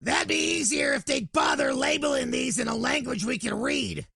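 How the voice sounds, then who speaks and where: A man voicing a sour, sarcastic space rogue with a harsh gravelly rasp in an audio drama. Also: very high voice, lively intonation, normal speed, pushed and bright, dry recording, shouting, studio quality, heavily acted. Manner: snapping, sarcastic. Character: sour, gruff, foul-mouthed male space villain turned reluctant ally.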